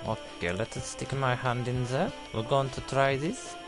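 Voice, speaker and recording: A man's voice: medium pitch, moderate pace, loud music behind the voice, lightly acted. A man voicing a bungling, apologetic foreign surgeon with a put-on accent and stilted, flustered delivery in a gaming commentary. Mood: apologetic, determined. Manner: flustered, exclaiming, stilted uncontracted phrasing, swearing. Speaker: video game player.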